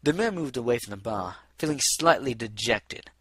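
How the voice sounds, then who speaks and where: A man reads scripted fiction as an audiobook narrator. His voice sits at a middle pitch with a bright, forceful tone, and the sound is dry, with little trace of a room. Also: animated, normal speed, husky, no room sound. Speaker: audiobook reader.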